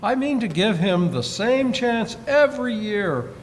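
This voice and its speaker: A man playing a hearty, forgiving young gentleman in a 1940s-style radio play, good-humoured throughout. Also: high voice, expressive, unhurried. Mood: forgiving, earnest. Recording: reverberant hall, faint noise.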